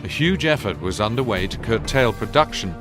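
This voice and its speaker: A man's voice: fairly low pitch, animated, a little fast, close to an everyday voice. A man performing solemn, formal scripted narration for a war game in the manner of a historical documentary. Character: solemn male narrator of a wartime chronicle. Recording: narration over prominent music.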